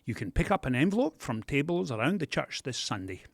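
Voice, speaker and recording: Lively man hosting a church podcast. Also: mid-range voice, brisk, gravelly, no echo, studio quality. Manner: animated, gently joking delivery. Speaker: pastor and podcast host. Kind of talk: church podcast announcements and interview.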